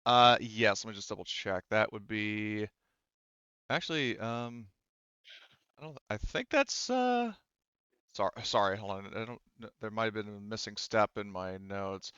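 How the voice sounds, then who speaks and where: Give a man with a smooth, clean voice as game master. He narrates casually and hesitantly, feeling his way through explanations. The recording is dry and a little dull. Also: on the low side, measured pace, sharp tone, no echo. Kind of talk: casual improvised narration of a tabletop roleplaying game.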